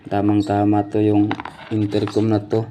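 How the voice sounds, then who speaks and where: Flat-toned Filipino man, noisy vlog recording. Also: fairly low pitch. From Philippines. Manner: halting, searching for words. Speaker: DIY and motovlog host. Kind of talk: casual unboxing vlog, showing items. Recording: clearly noisy home-made vlog audio.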